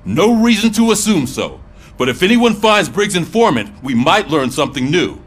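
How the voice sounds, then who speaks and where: An actor playing a firm middle-aged police sergeant in a game, briefing with authority. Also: male voice, fairly high pitch, very animated, moderate pace, slightly rough. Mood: businesslike, firm.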